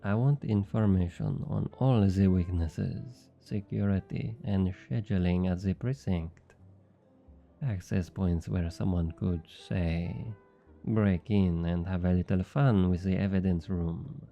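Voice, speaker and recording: A man playing a low, dark-voiced flirty French thief. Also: fairly even intonation, vocal fry, highs cut off, soft background music, exaggerated voice. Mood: coolly bargaining. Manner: teasing, smug, drawn-out coaxing. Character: obnoxiously flirty, smug male thief and information broker. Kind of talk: intimate ASMR roleplay acting.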